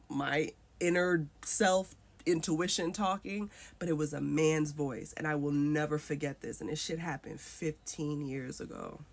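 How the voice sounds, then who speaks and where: Woman telling a personal story, vivid and emotional. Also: sits low. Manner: vivid, emotional retelling that acts out the voices it quotes.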